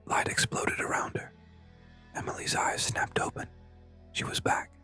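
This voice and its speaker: A narrator telling a scary story in a breathy, hushed whisper, with dramatic short suspenseful phrases. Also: measured pace. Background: music.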